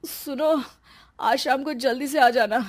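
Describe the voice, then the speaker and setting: A woman's voice: high-pitched, a little slow, very noisy. A woman voicing an anxious, self-pitying pregnant young husband who whines and complains in a cartoon story. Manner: whining, complaining.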